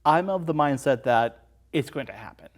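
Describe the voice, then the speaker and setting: A man's voice: mid-range voice, animated, normal speed. A man appearing as a guest on a film review show, giving his thoughts in casual discussion.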